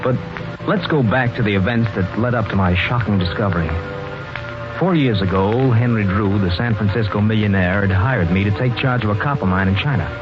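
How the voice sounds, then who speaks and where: A man's voice: lowish voice, brisk, close to an everyday voice. An actor playing an earnest, wronged young man who narrates his tale dramatically in a 1940s radio mystery. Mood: brooding narration. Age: young adult. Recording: muffled 1940s radio recording with music.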